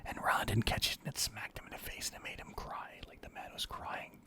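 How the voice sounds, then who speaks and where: A man telling rambling personal anecdotes in a soft ASMR whisper. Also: a little fast. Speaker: storyteller.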